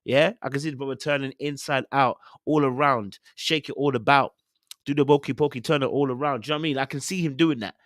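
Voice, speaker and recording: A young man with a London accent chatting casually as a podcast host, giving opinionated, slangy reality TV commentary with animated, theatrical retellings. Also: mid-range voice, fast talker, no echo, studio quality.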